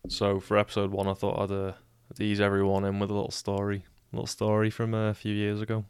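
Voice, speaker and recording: Scouse podcast host in his twenties, deadpan monotone, crisp dry close sound. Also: on the low side, fast, no room sound. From Liverpool, England. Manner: monotone, sweary, trips over words. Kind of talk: casual solo podcast storytelling.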